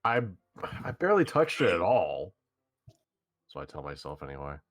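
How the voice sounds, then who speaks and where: A man's voice: deep voice, very animated, moderate pace, dry recording, studio quality. A man reading a nervous teenage boy in a staged reading, stammering and hesitating through his lines. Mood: flustered.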